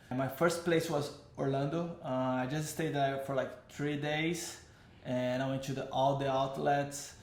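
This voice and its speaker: A man's voice: fairly high pitch, reverberant. Brazilian-accented man telling his story. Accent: Brazilian Portuguese. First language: Portuguese. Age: 30s. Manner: rambling, self-correcting, frequent "like" and "uh". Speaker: vlogger. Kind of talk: casual personal storytelling.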